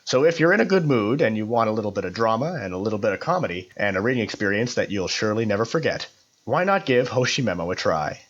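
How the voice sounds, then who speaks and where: A man reads a scripted review for a podcast in a measured, formally worded way. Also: on the low side, big pitch swings. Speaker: podcast contributor giving a review. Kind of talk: scripted narration, visual novel review.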